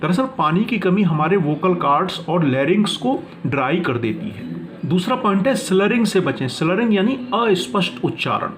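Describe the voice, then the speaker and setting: A man's voice: high-pitched, very animated, normal speed, a bit bright. A man teaching a lesson from notes, reading out points one by one and explaining them in an instructional way. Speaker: teacher presenting class notes.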